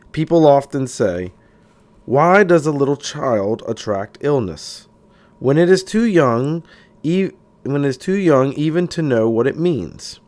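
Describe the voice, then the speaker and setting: A man narrating a self-help book audiobook-style, in a deliberate, declarative way, sometimes stumbling over words. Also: mid-range voice, darkish tone.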